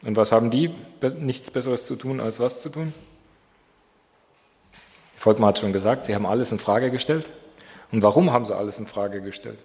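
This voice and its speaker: A man's voice: mid-range voice, moderate pace, long pauses, darkish tone. A man with a slight cold who opens in prayer and then leads a Bible study, asking his group questions. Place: church hall. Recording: long hall reverb.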